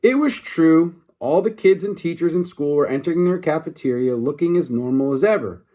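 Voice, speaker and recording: A man's voice: on the high side, expressive, a little fast, warm tone. A man reading a children's story aloud expressively, with short asides to his listeners. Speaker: storybook reader. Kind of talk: animated children's read-aloud narration.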